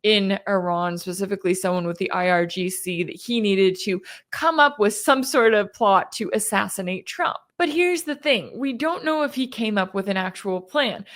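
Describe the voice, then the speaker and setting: A woman hosting a news commentary show, speaking in a conversational, emphatic and skeptical way. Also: medium pitch. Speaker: independent news commentator and host. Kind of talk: opinionated news commentary to camera.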